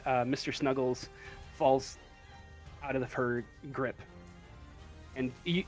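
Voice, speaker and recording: A man narrating a tabletop game as game master in a casual, halting, improvised way. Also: medium pitch, fairly bright tone. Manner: halting, hesitant, casual delivery.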